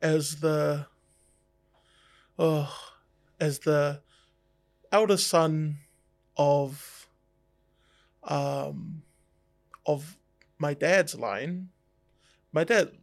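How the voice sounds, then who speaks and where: Gravelly laid-back man on a podcast. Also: a little high, extremely slow, pauses often, no echo, studio quality. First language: Tongan. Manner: easygoing, reflective, jokey asides. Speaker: tattoo artist guest. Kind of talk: casual podcast chat.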